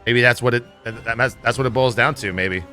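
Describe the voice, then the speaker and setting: A man's voice: medium pitch, a little fast, sharp tone, soft background music. A man giving casual, blunt, opinionated livestream commentary on gaming news, swearing freely as he goes. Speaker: livestream host.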